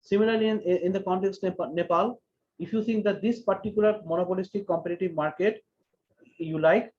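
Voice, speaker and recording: A man giving an online economics lecture, explaining step by step and slowly repeating key phrases. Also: high-pitched, lo-fi sound.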